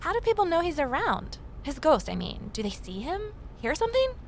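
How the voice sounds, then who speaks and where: A woman's voice: high-pitched, wildly swooping pitch, fairly quick, slight background noise, heavily acted. A woman playing a wry, uneasy young journalist who narrates a mystery audio drama in a confiding way. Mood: curious. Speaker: lead character and narrator.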